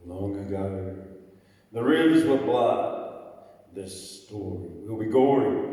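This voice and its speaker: A man with a croaky voice, hosting an open mic and reciting his own poetry in a dramatic, chanting way with sudden loud rises. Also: on the low side. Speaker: open mic host and poet. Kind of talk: performance poetry reading. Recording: echoey hall, mic far off.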